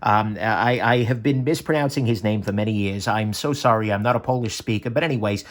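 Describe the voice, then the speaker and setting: A man hosting a casual knife review, chatty and self-correcting, with frequent ums and stammered restarts. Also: a little fast. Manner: lively, swinging pitch between upbeat and low asides. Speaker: knife reviewer, channel host. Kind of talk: casual product review to camera.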